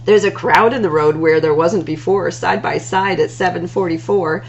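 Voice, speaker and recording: A woman reading rhyming picture books aloud as a storytime host, in an expressive, singsong delivery with drawn-out words. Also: lowish voice, highs cut off, slight background noise.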